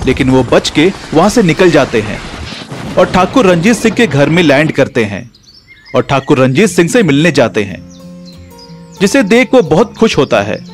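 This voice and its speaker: A male storyteller reads a scripted tale with a very lively, varied intonation. His voice is somewhat high for a man, and music plays behind him. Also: moderate pace.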